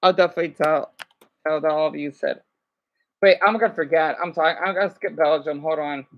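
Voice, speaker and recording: A man's voice: on the high side, a little fast, lo-fi sound. A man making a casual reaction vlog who chats along and stumbles over foreign words. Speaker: vlogger reacting to a video.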